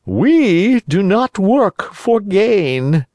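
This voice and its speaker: A cunning fox from a storybook, drawing out each word to tempt his mark, as the reader puts him on. The voice is pitched high above the reader's own and moves very slowly, with wide swoops. The sound is dry and close. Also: big pitch swings, darkish tone, no room sound. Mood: coaxing. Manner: drawn-out, coaxing. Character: sly scheming fox, smooth talker.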